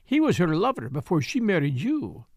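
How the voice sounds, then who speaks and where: A man's voice: high voice, wildly swooping pitch, moderate pace, dry recording, studio quality, exaggerated voice. A man reading a storybook, voicing a scheming, teasing Parisian woman dancer with a French accent and a purring, insinuating manner. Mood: mocking.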